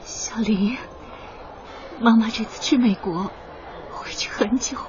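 A woman in her thirties playing a tender, sorrowful mother in a radio drama, speaking softly and soothingly to her child. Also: fairly high pitch, takes it slowly, whispered, crowd noise. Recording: muffled, with noisy station ambience.

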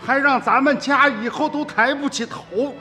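A man's voice: very high voice, moderate pace, bright, forceful tone. An actor playing a stern, wily older villager, an uncle and grandfather, in a radio drama with emphatic, exclamatory rustic speech. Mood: indignant, scolding. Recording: reverberant studio drama with music.

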